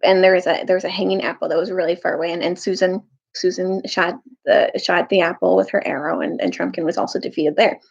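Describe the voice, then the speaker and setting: A woman leading a storytime, talking informally and haltingly with casual asides. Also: fairly low pitch, little pitch movement, vocal fry, breathy edge, highs cut off. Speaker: storytime reader, teacher. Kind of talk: reading a children's novel aloud, casual recap.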